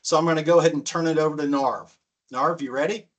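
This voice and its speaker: A man hosting a business webinar, introducing the presenters in a brisk, friendly way with frequent "um" fillers. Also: on the high side, fairly even intonation. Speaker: webinar host.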